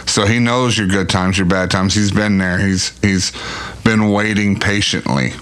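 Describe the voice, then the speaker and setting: Deep-voiced guy in his 40s hosting a podcast, folksy, low and easygoing. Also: steady tone, a little slow, a bit bright. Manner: folksy, faith-focused, repeats words. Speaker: podcast host. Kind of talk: casual interview.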